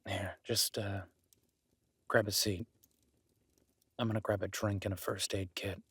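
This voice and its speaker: A man playing a gruff, skeptical but caring cabin dweller in an intimate audio roleplay, blunt and sweary yet grudgingly reassuring. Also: fairly low pitch, level tone, a little slow, fairly bright tone, no room sound. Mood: apologetic, reassuring. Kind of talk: immersive audio roleplay, intimate naturalistic acting.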